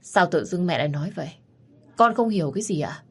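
A woman's voice: lowish voice, expressive, quick pace, husky. A woman voicing a polite, deferential young man confused by his mother. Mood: puzzled. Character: polite, puzzled young adult son.

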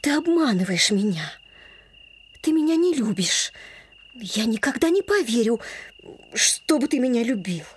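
A doubting young country girl in an audio drama. Her voice is extremely breathy and somewhat high, with lively, emotional swings. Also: unhurried, full character voice. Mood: reproachful. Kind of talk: theatrical audio drama acting.

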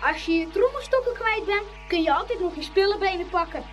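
An actor voicing a chattering young schoolboy in a Dutch radio play, lively and full of teasing banter. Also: extremely high pitch, a little fast, soft background music.

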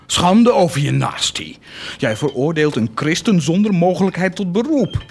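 A man's voice: on the high side, expressive, normal speed, gravelly, a little mellow. A proud military commander in a radio play, burning with zeal to do good. His voice swings widely between confiding and declamatory indignation. There is faint noise behind him. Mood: wounded, defiant. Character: proud, grandiose army commander turned zealous do-gooder. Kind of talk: theatrical radio drama acting.

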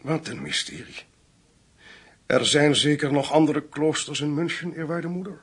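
An actor in a radio play playing a calculating schemer who poses as a polite, hesitant priest. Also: male voice, on the high side, moderate pace, slightly rough.

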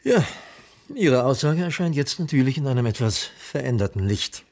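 An actor playing a boastful, flippant small-town private detective with self-important, wry patter in a radio crime play. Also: male voice, medium pitch, expressive, normal speed, darkish tone, close to an everyday voice. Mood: sceptical, wry. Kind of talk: 1980s German radio crime play acting.

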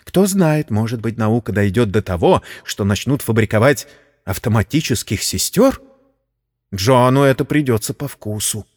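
A man's voice: mid-range voice, animated, moderate pace, lightly acted. Timid but stubborn sister voiced by a man. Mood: bitter musing. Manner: hushed, earnest. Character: timid, trembling spinster sister turning stubbornly defiant. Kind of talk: audiobook character acting. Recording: long reverberant hall sound.

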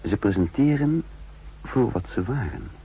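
An actor playing a shrewd, sardonic counter-espionage chief in a 1960s radio drama, musing dryly with thoughtful hums. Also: male voice, lowish voice, sing-song, unhurried, vocal fry, heavily acted. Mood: secretive narration. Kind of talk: 1960s Dutch radio drama acting with narration. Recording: dull, noisy 1960s radio recording.

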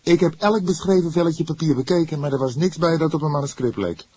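A man voicing a young, hot-headed police detective in an audiobook, excitable and indignant, with an agitated, emphatic delivery. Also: on the high side, fast talker, husky, dry recording, pitched above the performer's own voice. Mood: matter-of-fact. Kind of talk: audiobook character voice.

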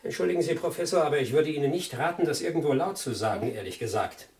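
An actor playing a zealous Soviet squadron commander in a German radio drama, emphatic and politely insinuating. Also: male voice, a little high, expressive, quick pace, a little mellow, recorded from a distance, slight background noise. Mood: suspicious, menacing. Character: suave, menacing Soviet fighter squadron commander, zealous loyalist.

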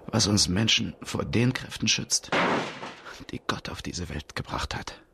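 A grave, resigned man reflects in a radio drama. His voice sits low and crackles with fry. Clear noise surrounds it. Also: lively intonation, unhurried, exaggerated voice.

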